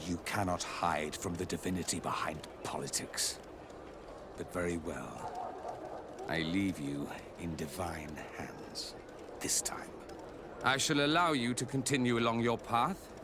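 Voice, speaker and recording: A religious zealot of an inquisitor in a fantasy game. His low voice declaims with solemn menace. Clear outdoor noise sits behind him. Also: a little slow. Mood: stern, ominous.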